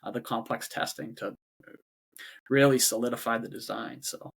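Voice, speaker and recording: Halting man with a Boston accent. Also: medium pitch, fairly even intonation, creaky, fairly bright tone, slightly rough. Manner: halting, often repeats words before going on. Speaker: robotics company CEO, guest. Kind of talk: casual interview talk.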